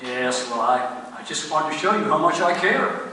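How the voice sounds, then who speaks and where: A man's voice: a little high, expressive, moderate pace, sharp tone, distant mic. A man playing a nervous, guilt-ridden husband in a comic radio play, flustered and overly polite. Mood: forced politeness. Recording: echoey hall from afar, faint noise.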